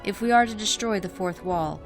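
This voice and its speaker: A woman's voice: mid-range voice, a little fast, close to an everyday voice. A woman playing a sly, mocking schemer in an audio drama, speaking in a wry, formal and taunting way. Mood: defiant, cutting. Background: music.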